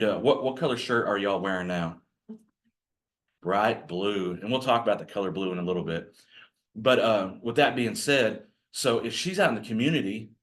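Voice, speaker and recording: A man with a growly voice, giving a folksy, rambling training talk to a group of real estate agents. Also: on the low side. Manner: folksy, rambling, self-deprecating. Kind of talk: casual workshop talk to a group.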